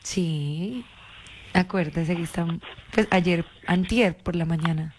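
A woman's voice: lowish voice, takes it slowly, a little mellow, slight background noise. A woman from Colombia with a Paisa accent, chatting casually on a phone call in a coaxing, playfully evasive, insistent way. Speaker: phone caller.

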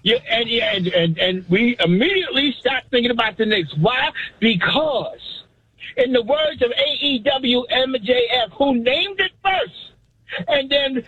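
A man calls in with a hoarse, very high voice. He rants about sports in a theatrical, slangy way, repeating himself. The line sounds dull and lo-fi. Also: lively intonation, a little slow, sharp tone. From New York City. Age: 50s. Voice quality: hoarse, raspy. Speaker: radio call-in guest. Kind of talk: animated casual sports rant.

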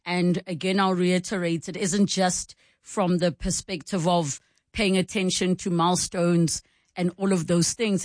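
A woman's voice: on the low side, no echo, clean studio sound. A woman hosting a live talk radio show, chatting in a conversational, reflective way. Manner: conversational, reflective, reads out phone numbers. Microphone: studio microphone.

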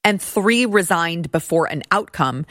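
A woman's voice: lowish voice, expressive, measured pace, fairly bright tone, dry recording, studio quality. A woman hosting a political commentary show, talking in a sarcastic, mocking way. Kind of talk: opinion commentary monologue.

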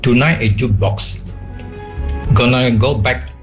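A man reads out his voice note at a mid pitch. His delivery is steady and measured, like someone working through a list. Faint music sits behind him. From Sarawak, Malaysia. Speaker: listener sending a voice note.

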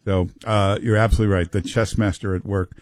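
A man's voice: on the low side, steady tone, fast, dry recording, clean studio sound. A male talk radio host who jokes with callers and his co-host in wry, teasing banter. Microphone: studio mic.

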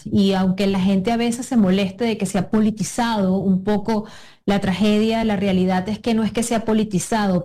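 Woman from Venezuela, thirties, warm low-key tone, earnest, hesitant restarts, interview answers.

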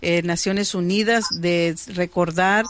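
A woman's voice: fairly low pitch, steady tone, slow pace, fairly bright tone, slight background noise. Chatty woman radio host. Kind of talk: community radio hosting.

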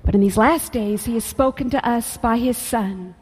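A woman's voice: fairly high pitch, a little mellow, breathy edge. A woman teaching on gratitude and reading scripture aloud with earnest emphasis. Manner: earnest, slows to near whisper on scripture. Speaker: Bible teacher.

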